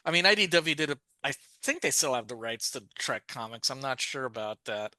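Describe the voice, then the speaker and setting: A man's voice: mid-range voice, animated, brisk, sharp tone, no room sound. A man co-hosting a podcast, chatting casually and rambling through thoughts with many restarts and asides. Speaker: podcast co-host. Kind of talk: casual discussion.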